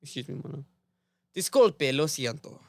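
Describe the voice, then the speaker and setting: A man's voice: a little high, lively intonation, moderate pace, creaky, darkish tone, no echo. Goofy teenage boy joking on a podcast. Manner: goofy, jokey, says random things. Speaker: podcast co-host. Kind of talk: casual chat.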